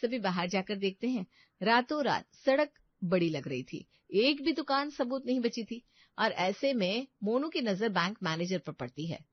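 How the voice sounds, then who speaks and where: A woman's voice: mid-range voice, lively intonation, normal speed, no room sound, lo-fi sound. A woman reading scripted story narration as the narrator.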